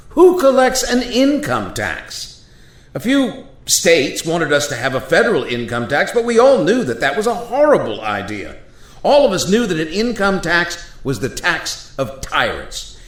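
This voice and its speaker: Guy playing a courtly old-time statesman in a radio play, highish voice, swoops around, formal and oratorical, echoey room. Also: big pitch swings. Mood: indignant, questioning. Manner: formal, measured, oratorical delivery. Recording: roomy, echoing sound.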